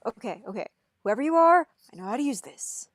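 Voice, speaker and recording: Brief: woman voicing startled, incredulous young guy for a film script read; higher register, very dry sound. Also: sing-song, brisk, no echo. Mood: nervous. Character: startled, incredulous young man in his father's apartment. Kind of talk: amateur table-read acting.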